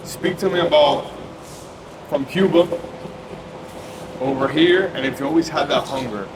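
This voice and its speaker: A man hosts the interview, drawing his guest out with long, unhurried questions in a slightly raised voice. His voice echoes around the room. Loud background noise hums beneath it. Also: a little high. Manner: slow, rambling, probing questions. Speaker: host, interviewer.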